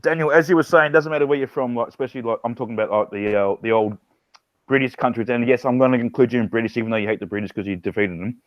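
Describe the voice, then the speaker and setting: A man's voice: medium pitch, fairly even intonation, racing, no room sound, highs cut off. A man chatting bluntly and swearing in casual stream banter.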